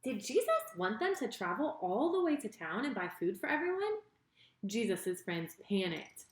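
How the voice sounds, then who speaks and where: A woman reading a children's story in an expressive, deliberate way, speaking directly to her young listeners. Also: mid-range voice. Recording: roomy, echoing sound.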